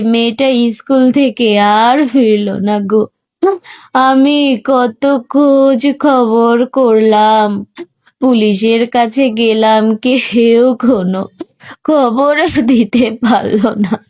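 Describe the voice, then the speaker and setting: A woman playing a scheming, self-pitying village stepmother in a cartoon, with a drawn-out, plaintive delivery. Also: a little high, steady tone, darkish tone. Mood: fake wailing. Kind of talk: Bengali cartoon story acting.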